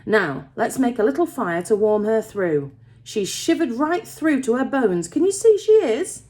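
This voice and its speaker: A kind young working man from a storybook as a woman narrator puts him on, protective and earnest. He speaks Yorkshire-accented dialect in a coaxing way, with pitch that rises and falls freely. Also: expressive, normal speed, a little mellow, lightly acted. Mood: protective, chiding. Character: kind young male tin miner, protective, reassuring.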